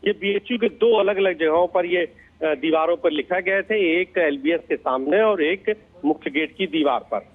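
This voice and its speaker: A male reporter delivers a live field report in a fairly high-pitched voice. The sound is thin and narrow, like a phone line into a news studio. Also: normal speed.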